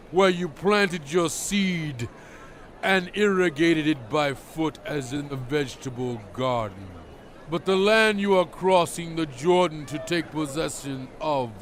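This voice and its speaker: A man playing a solemn, commanding biblical prophet in a dramatized scripture reading, speaking in a measured, emphatic, exhorting way. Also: on the high side. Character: solemn commanding male biblical prophet addressing his people.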